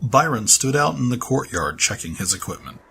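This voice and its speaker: A man's voice: medium pitch, big pitch swings, moderate pace, creaky, bright, forceful tone, a little hiss or hum. A man narrating a scripted fantasy story in a dramatic style.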